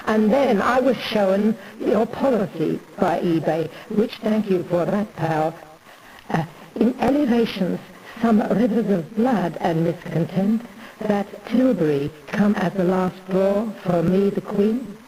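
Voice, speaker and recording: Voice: medium pitch, close to an everyday voice, rough recording. A performer playing an imperious, aggrieved Tudor queen in a theatrical parody monologue, declaiming in a pompous, rambling way.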